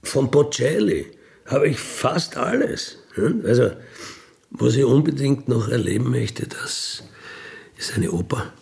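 An actor playing a wistful, dying ex-robber in an intimate radio monologue, halting and musing as he trails into murmurs. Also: medium pitch, lively intonation, creaky, darkish tone. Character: wistful dying ex-robber dreaming of freedom in Siberia.

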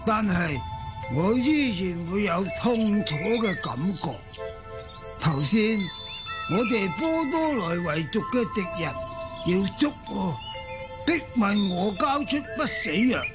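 Solemn old tribe shaman for radio drama, high pitched, really drawn out and theatrical. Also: lively intonation, slow, over music. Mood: grave, insistent. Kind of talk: Cantonese radio drama acting.

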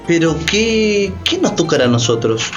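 Obsequious official over music. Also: male voice, a little high, big pitch swings, normal speed. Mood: calculating, hesitant. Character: obsequious, calculating male South American government official. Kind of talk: radio drama acting.

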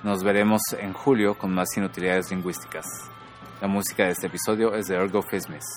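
A man hosting a podcast who explains things in a measured, scripted narration. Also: sits low, fairly even intonation, soft background music. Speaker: podcast host.

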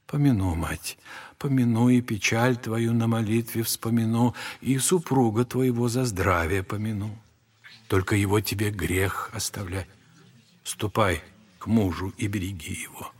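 Old monk, unhurried pace, breathy rasp, solemn, consoling, preacherly, audio drama. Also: male voice, lowish voice. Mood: gentle admonishing. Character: old monastery elder, compassionate, solemn spiritual counsellor.

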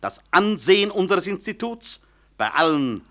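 An actor in a 1960s German radio play, playing a proud, defiant professor and director who speaks in formal, ironic rhetoric. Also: male voice, sits high, big pitch swings, measured pace, fairly bright tone, heavily acted. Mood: defiant, indignant.

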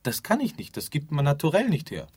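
Forgetful keeper of the void, offhand and rambling, voice swings around a lot, really dry sound. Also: male voice, a little high, huge pitch swings, fairly quick, a little mellow, slightly rough, dry recording, full character voice. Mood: hesitant, matter-of-fact. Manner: rambling, hesitant, groping for words. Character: male keeper of the empty afterlife, offhand and forgetful. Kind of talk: theatrical radio drama dialogue.

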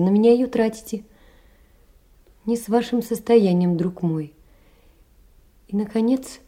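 An actress plays a sickly, poor young woman in a stage drama. Her voice is very dark and soft-edged, and she speaks in an earnest, plaintive way, blaming herself and aching with sorrow. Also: a little high, natural voice. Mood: anguished, pleading. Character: sickly, anguished, self-reproaching poor young woman writing letters. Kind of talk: theatrical monologue, letters read as drama.

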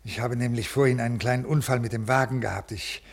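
An actor playing a stiff, agitated civil servant in a 1950s radio play, speaking formally and haltingly in a creaky voice. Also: male voice, medium pitch, fairly even intonation, natural voice. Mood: agitated, pleading. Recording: 1950s radio studio recording.

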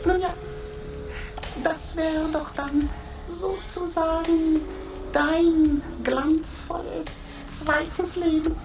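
A slow, musing talking stone with a very high, steady voice. The radio play has a roomy studio sound with music running underneath. Every word comes out unhurried and deliberate. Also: female voice, full character voice. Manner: measured, musing. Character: talking stone, new to the world, thoughtful. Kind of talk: radio drama acting.

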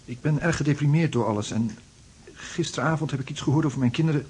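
A man's voice: fairly high pitch, fast, a little mellow, breathy edge. An actor in a Dutch radio play playing a depressed, sleepless, recently separated man who speaks hesitantly. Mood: polite, downcast. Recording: noisy old radio drama recording.

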